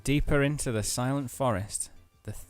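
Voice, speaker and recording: A man's voice: medium pitch, normal speed, smooth, no room sound, soft background music. A man co-hosting a let's play, casually reading the game's text aloud with small stumbles and jokey asides. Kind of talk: casual game commentary and read-aloud.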